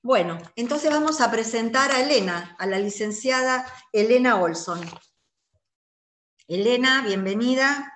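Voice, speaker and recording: A woman moderates an online panel, introducing speakers at a measured pace with fillers. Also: a little high, animated, a bit bright, a little hiss or hum. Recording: echoey room.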